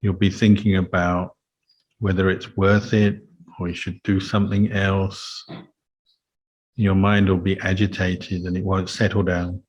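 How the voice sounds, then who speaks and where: A man speaking as a meditation teacher, giving calm, measured guidance in a Buddhist talk. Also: deep voice, breathy edge, highs cut off. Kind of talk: guided meditation and Dhamma talk.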